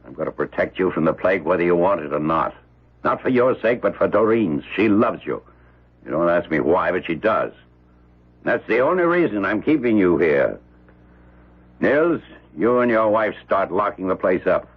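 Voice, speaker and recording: An American actor playing a domineering, ruthless rich father in a radio drama, with a gruff, barking and bossy delivery. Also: low-pitched, big pitch swings, normal speed, exaggerated voice. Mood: threatening, hard. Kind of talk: old-time radio drama acting. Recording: muffled vintage radio broadcast recording.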